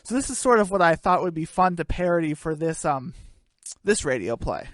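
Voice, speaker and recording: A storyteller narrating a homemade radio play. The pitch moves around a lot, and the recording is dry with very little room sound. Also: lively intonation, brisk, fairly bright tone, no room sound.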